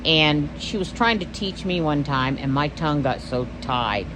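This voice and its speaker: A woman's voice: low-pitched, expressive, measured pace, noisy background. A Texan woman hosting a stitching vlog, chatting casually and rambling, often losing her train of thought. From Texas. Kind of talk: casual show-and-tell vlog.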